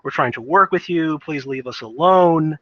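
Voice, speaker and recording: A man's voice: fairly high pitch, moderate pace, dry recording, lightly acted. A man playing a pleading, peaceable institute director from a video game, conciliatory in manner, recorded with dull lo-fi sound.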